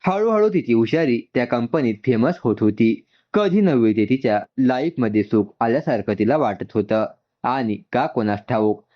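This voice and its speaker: A man's voice: mid-range voice, lo-fi sound. A man narrating a scripted story as a storyteller, voicing its dialogue along the way.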